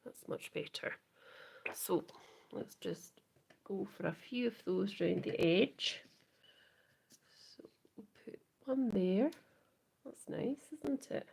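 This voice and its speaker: A Scottish woman hosting a live crafting demo, chatting softly to viewers and often trailing into whispers as she works. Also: mid-range voice, lively intonation, slow, creaky, pauses often, slightly rough. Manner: soft, often trailing into whispers while working. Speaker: craft livestream host.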